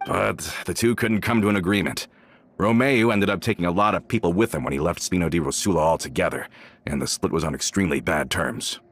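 An actor playing an earnest, loyal member of a gang in a video game, speaking in a questioning, sincere manner. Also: male voice, low-pitched, a little fast, a little hiss or hum. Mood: earnest, troubled. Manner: earnest, questioning, hesitant when shocked. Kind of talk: video game character dialogue.

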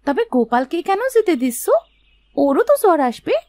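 A woman playing a caring, innocent little village girl in an animated moral story, childlike and concerned. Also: sits high, a little fast.

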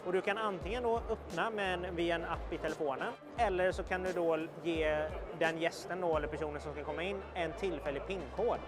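A man's voice: fairly high pitch, steady tone, over music. A young man giving an informal, explanatory product demonstration to camera, as a video presenter. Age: young adult. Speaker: video presenter for an electronics retailer. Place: indoor trade fair booth.

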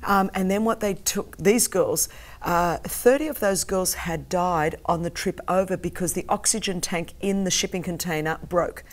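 A woman's voice: on the low side, moderate pace. A middle-aged woman whose first language is Greek, telling an intense story as a guest on a studio talk show, gesturing emphatically. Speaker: guest, anti-trafficking campaigner. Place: TV studio set with sofas.

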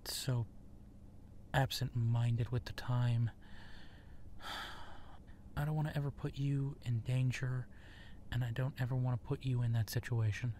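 A man playing an apologetic, self-doubting werewolf in human form in a roleplay audio drama, speaking softly and hesitantly. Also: mid-range voice, flat delivery, unhurried, long pauses, slight background noise, close to an everyday voice. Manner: hesitant, soft-spoken, sheepish.

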